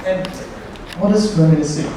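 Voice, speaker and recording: A man's voice: high-pitched, moderate pace, noisy background. Young man presenting in an echoey hall. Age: young adult. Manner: jokey, chatty storyteller. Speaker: student presenter. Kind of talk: lively presentation to a class. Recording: roomy echo from far off.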